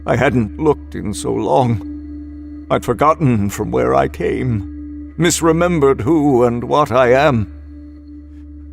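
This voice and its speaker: A man reading a first-person horror story with a measured, dramatic delivery. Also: mid-range voice, gravelly, darkish tone. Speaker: narrator. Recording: music bed underneath.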